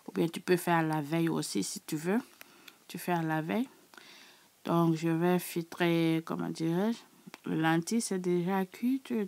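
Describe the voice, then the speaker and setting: A woman with a sore, hoarse throat, chatting casually to her subscribers as she walks through a recipe in her kitchen. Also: lowish voice, darkish tone. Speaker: cooking channel host. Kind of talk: casual cooking tutorial.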